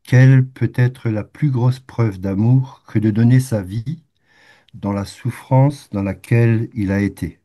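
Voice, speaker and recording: A man's voice: mid-range voice, unhurried, mellow. A man with a head cold, reading a passage and then reflecting on it hesitantly, with restarts, in a prayer group. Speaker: participant reader.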